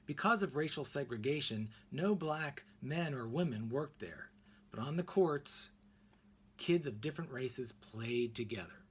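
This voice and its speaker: A man reading a children's picture book aloud expressively, in deliberate phrases with emphatic pauses. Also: mid-range voice, animated, slightly rough. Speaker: read-aloud narrator.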